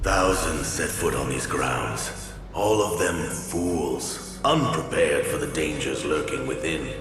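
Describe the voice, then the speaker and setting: An ancient warrior spirit with a very low, unhurried voice. He speaks with imperious scorn and archaic weight, like a battle-hardened judge in a fantasy game. His words ring out from afar in a great reverberant hall. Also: animated, full character voice. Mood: scornful, menacing. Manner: slow, archaic, portentous delivery. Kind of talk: dramatic video game voice acting. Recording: distant, cavernous reverb over loud music.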